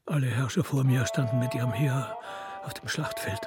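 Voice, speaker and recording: A man's voice: medium pitch, normal speed, music in the background, close to an everyday voice. An actor playing a petulant, self-doubting Persian king with a childish, hesitant manner in a radio play. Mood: doubting, weary.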